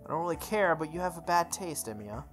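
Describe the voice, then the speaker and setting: A prim male student in a visual novel, read by a woman talks in a low, dry register. He is judgmental and pedantic, scolding as he goes. Music sits faintly behind the voice. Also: expressive, quick pace. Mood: matter-of-fact.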